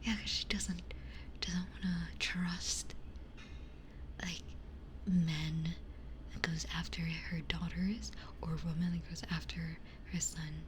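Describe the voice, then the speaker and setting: A woman streamer chatting casually in a breathy ASMR whisper into a close binaural microphone, telling stories in a candid, gossipy way. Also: on the low side, flat delivery, slow, a little hiss or hum. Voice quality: breathy, mostly unvoiced whisper.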